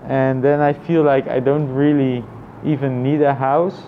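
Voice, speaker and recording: A man's voice: mid-range voice, little pitch movement. Man vlogging over dull, noisy lo-fi audio. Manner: halting, thinking aloud with repeated phrases. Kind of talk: casual reflective monologue, personal vlog.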